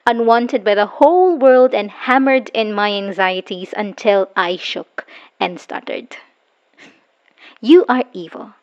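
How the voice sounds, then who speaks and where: Woman acting a bitter, high-voiced, fed-up ex-girlfriend. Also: highs cut off. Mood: wounded, weary rage. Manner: scornful, swearing, emphatic. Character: bitter, exhausted woman leaving an abusive obsessive partner. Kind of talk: dramatic audio roleplay monologue, spoken as a voicemail.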